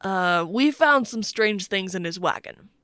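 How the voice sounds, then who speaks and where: A woman's voice: mid-range voice, animated, unhurried, sharp tone, no echo, clean studio sound. A coarse older-style soldier fellow, acted by a woman reader. He talks bluntly in a rustic, crude way, as a storybook character. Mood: matter-of-fact. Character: coarse balding soldier man, gruff and blunt.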